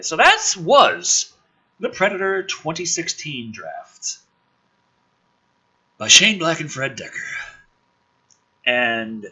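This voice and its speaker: A man's voice: a little high, husky, dull audio. A man hosting a commentary show, reading scripts dramatically and reacting in a sarcastic, sweary, exasperated way. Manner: animated, sarcastic, sweary, groaning asides. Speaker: host of a gaming and film commentary channel.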